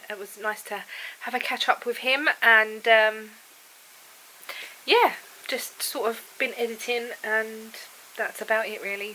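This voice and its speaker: A woman's voice: medium pitch, pushed and bright, slight background noise, poor audio. A woman with a cold and a froggy, bunged-up throat, chatting casually and rambling to camera from her home. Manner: rambling and chatty, laughs often. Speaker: vlogger. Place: at home indoors.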